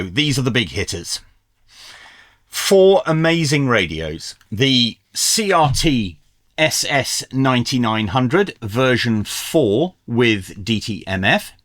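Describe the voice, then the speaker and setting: A man's voice: on the low side, fairly bright tone, dry recording, studio quality. A man presenting a product review in a casual, conversational style.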